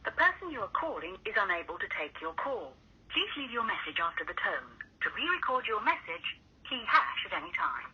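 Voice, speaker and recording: Lively-pitched female voicemail announcer, dull phone sound. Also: brisk, slightly rough. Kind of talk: scripted phone system announcement. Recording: dull, noisy telephone line recording.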